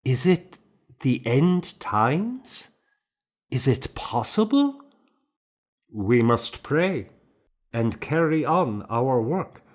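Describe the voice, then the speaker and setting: A pious, troubled monk acted out by a male narrator in an audiobook. He is grave and earnest, and speaks with slow solemnity. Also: mid-range voice, very animated, pitched above the performer's own voice, full character voice. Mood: anxious. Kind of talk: audiobook character dialogue.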